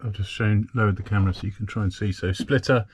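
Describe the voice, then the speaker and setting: A man with a fairly low voice gives a casual hands-on demonstration, talking through each step as he works. Clear background noise and small clicks sit behind him. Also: steady tone, fast talker. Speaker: sailing vlogger.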